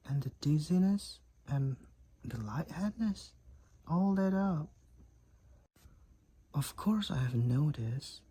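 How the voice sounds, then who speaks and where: A man voicing a devoted, protective vampire boyfriend with courtly old-fashioned phrasing and a husky, creaky voice, in an intimate roleplay audio. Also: medium pitch, mellow. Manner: archaic, courtly phrasing, tender endearments. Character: devoted, overprotective young-looking male vampire boyfriend, 128 years old.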